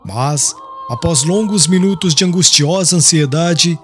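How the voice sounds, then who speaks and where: A man's voice: on the high side, unhurried, fairly bright tone. A man narrating an audiobook as scripted drama, in a solemn, literary and mournful manner. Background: music.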